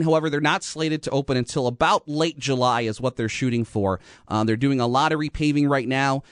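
An American man hosting a motorsport radio show, talking informally and haltingly, often rephrasing mid-sentence. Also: mid-range voice, no room sound, clean studio sound. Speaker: radio host. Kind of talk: motorsport talk radio monologue.

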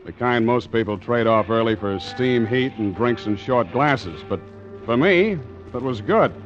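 A man's voice: mid-range voice, lively intonation, a little slow, lightly acted. Male actor for young sea captain, hard-boiled and wry, mid-range voice, vintage muffled radio drama. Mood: brooding, wistful. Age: 20s. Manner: clipped, wry, sardonic. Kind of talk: 1940s radio acting, hard-boiled narration. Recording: muffled 1940s radio recording with music.

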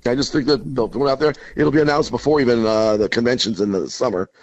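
A man's voice: medium pitch, fast. A man with an American accent calling in to a radio show for a casual chat, over a dull phone line. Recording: dull phone call sound.

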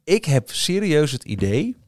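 A man's voice: a little high, sing-song, a little slow, darkish tone, no room sound, clean studio sound. Hesitant, blunt man in casual podcast talk. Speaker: podcast co-host. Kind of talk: casual panel discussion.

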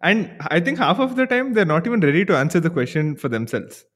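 Male podcast host, Indian accent, slightly high pitch, chatty and conversational, wanders into asides. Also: big pitch swings, fast, a bit bright.

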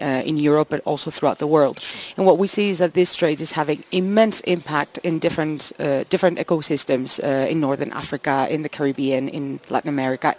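A woman's voice: sits low, darkish tone. A woman from Spain, answering interview questions earnestly about her rescue work. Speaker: guest from an animal rescue charity.

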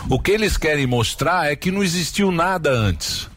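A man's voice: a little high, lively intonation, moderate pace, fairly bright tone. A man hosting a casual political panel, talking emphatically and repeating his points.

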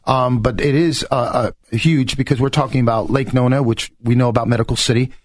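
A man's voice: mid-range voice, steady tone, brisk, dry recording. A middle-aged man from New York talking conversationally as a political candidate in a radio interview. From New York, United States.

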